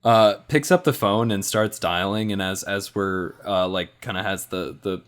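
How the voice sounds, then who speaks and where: A man's voice: low-pitched, a little slow, a bit bright. A man playing a tabletop roleplaying game, improvising casually in slangy, laid-back wording.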